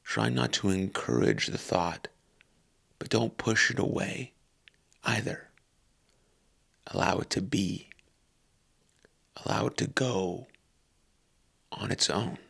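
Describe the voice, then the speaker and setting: A man leading a guided meditation calmly and unhurriedly, in short phrases with long pauses. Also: lowish voice, vocal fry, breathy edge.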